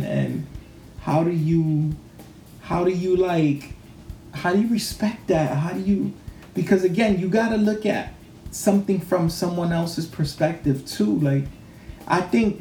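A man's voice: on the high side, dark tone, roomy sound, slight background noise. A man co-hosting a podcast, talking casually and rambling with many restarts. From New York. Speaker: podcast co-host. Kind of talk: casual chat.

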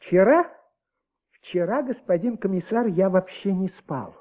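A man's voice: high-pitched, animated, unhurried, dark tone, muffled recording. A man playing an anxious, talkative, sleepless husband, a hesitant witness who repeats himself, in a Soviet radio drama. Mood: weary, fussy.

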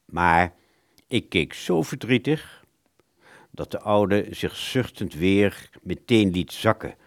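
A man's voice: deep voice, expressive, takes it slowly, no echo, studio quality, full character voice. An actor playing a roguish, self-satisfied clarinettist, telling his own story theatrically in a radio play. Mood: self-pitying, smug.

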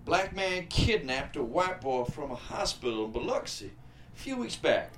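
A man plays a small-town Southern sheriff, sly and needling. The voice sits fairly high and swings around in folksy, insinuating turns, as in a screenplay drama read, with faint noise behind it. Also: sing-song. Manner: folksy, insinuating, drawn out with pauses.

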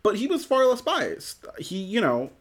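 A man's voice: high voice, wildly swooping pitch, unhurried. A man co-hosting a podcast, talking casually in a rambling way with lots of "you know" fillers. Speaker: podcast co-host. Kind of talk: casual chat.

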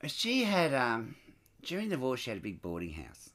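A man who grew up in Sydney, an entertainer telling stories in an interview in a lively, expressive way, with frequent asides. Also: medium pitch, moderate pace. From Scotland, raised in Sydney. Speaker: stage entertainer and show producer. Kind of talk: chatty interview storytelling.

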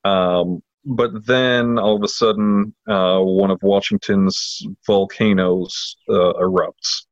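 A man with a fairly low voice co-hosts a casual podcast. He explains things haltingly, padding with fillers. The sound is dry and a little dull, without room echo. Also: fairly even intonation. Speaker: podcast co-host. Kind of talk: casual chat, informal book recommendation.

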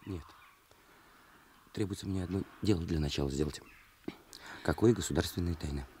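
An actor playing a restless, brooding ex-thief in a radio play, curt and impulsive, with a husky voice. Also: male voice, low-pitched, normal speed, a little mellow, slight background noise, lightly acted. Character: restless, brooding male ex-thief trying to reform.

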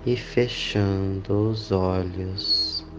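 Very slow man guiding meditation. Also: sits low, fairly even intonation, highs cut off. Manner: slow, deliberate phrases with long pauses. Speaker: meditation guide. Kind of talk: guided meditation. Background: clear background music.

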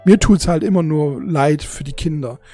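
A forty-year-old speaking off the cuff to an audience in a husky, gravelly voice, halting and repeating words, emotional and blunt with casual swearing. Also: medium pitch, mellow, soft background music. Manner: halting, repetitive, searching for words. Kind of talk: unscripted casual monologue to an audience.

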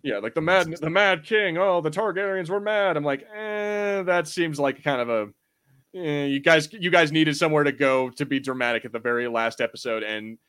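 A man's voice: on the high side, moderate pace, fairly bright tone, clear, resonant tone. A man hosting a podcast, chatting casually about fantasy film and TV in a rambling, irreverent, sweary way. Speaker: podcast host.

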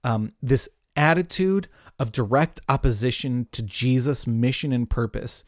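A man's voice: medium pitch, measured pace, dry recording, clean studio sound. A young adult man giving a casual Bible lesson, chatty and full of asides. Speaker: Bible teacher.

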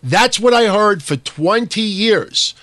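Emphatic, slightly hoarse male podcast host. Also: high voice, animated, bright, forceful tone, dry recording. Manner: quick, emphatic, talks over co-host. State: a little hoarse. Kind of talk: casual wrestling podcast chat.

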